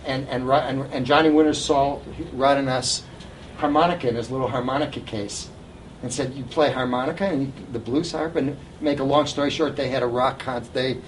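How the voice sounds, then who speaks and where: A man's voice: medium pitch, steady tone, fairly quick. Older man on a distant, noisy live recording. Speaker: guest storyteller at a reunion event. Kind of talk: informal anecdotes told to a live audience. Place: live event room with an audience.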